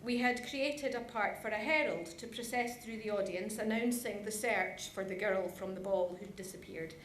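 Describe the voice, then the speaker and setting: A woman's voice: medium pitch, normal speed. A woman giving an informal presentation in a chatty way, speaking directly to her audience. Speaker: conference presenter. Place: large conference hall. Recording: echoey hall, some background noise.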